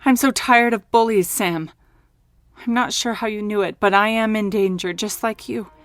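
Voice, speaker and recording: A man voicing a weary woman who is fed up and defiant, speaking softly and confidingly. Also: high-pitched, steady tone, moderate pace, smooth, no room sound, studio quality, voice pushed up.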